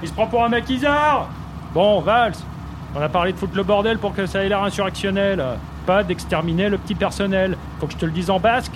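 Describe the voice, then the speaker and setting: A man's voice: sits high, a little fast, background noise, lightly acted. A man playing a cocky, scheming prime minister who talks like a slangy film gangster in a satirical audio drama. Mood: exasperated. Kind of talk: satirical audio fiction acting.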